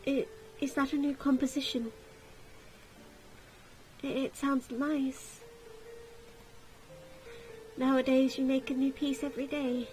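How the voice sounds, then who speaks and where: A woman voicing a gentle, patient young maid in a visual novel, speaking in a mild, conciliatory way. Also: sits high, little pitch movement, takes it slowly, pauses often, soft background music, low-quality recording.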